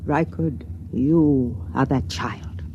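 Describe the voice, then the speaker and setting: An actress playing an aged, secretive spaceship matron in a vintage radio drama, speaking formally in measured, confiding tones. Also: female voice, extremely low pitch, dark tone, muffled recording, exaggerated voice. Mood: hushed, urgent. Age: older. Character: aged matron of a spaceship colony, guarded, secretive, quietly intense. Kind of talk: old-time radio drama acting. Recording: vintage radio recording with hiss.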